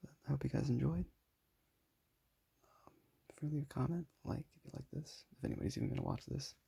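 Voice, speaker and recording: A young man with a raspy voice, talking casually and modestly while showing his sketches. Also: medium pitch, expressive, quick pace, whispered. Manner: soft-spoken, self-deprecating, rambling.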